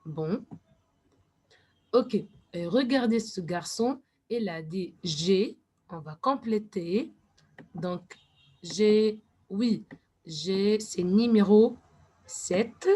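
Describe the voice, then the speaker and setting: Lady teacher talking super slow, careful, explaining to kids, drops into english sometimes. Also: medium pitch, warm tone, husky. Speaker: French teacher. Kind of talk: classroom lesson.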